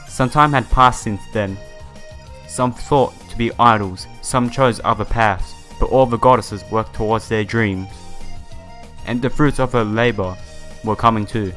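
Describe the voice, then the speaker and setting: A man's voice: on the low side, measured pace, a bit bright. Casual man commentating over game music. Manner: informal, addresses viewers directly. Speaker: let's play host. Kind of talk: casual video game playthrough commentary, reading story text aloud. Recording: music playing behind the voice.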